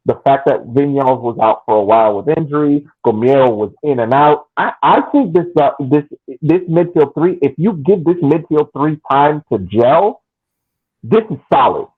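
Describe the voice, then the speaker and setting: A man's voice: fairly high pitch, breathy edge, narrow, tinny audio. A man co-hosting a casual sports podcast, giving long analytical takes with frequent restarts. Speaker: podcast co-host.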